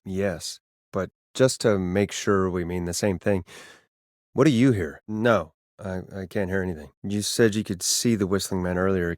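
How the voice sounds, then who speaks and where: A man's voice: mid-range voice, darkish tone, no room sound, studio quality, lightly acted. An actor playing a sardonic male late-night radio talk show host who stammers a little under pressure, in a video game. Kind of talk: video game voice acting, conversational radio patter.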